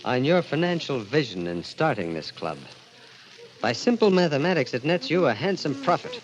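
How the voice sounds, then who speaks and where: A man's voice: fairly high pitch, big pitch swings, normal speed, a little hiss or hum, poor audio. An actor playing an anguished, guilt-ridden young man, narrating and speaking with dramatic intensity in the style of a 1940s radio mystery. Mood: cold defiance. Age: young adult. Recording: old radio recording with music behind.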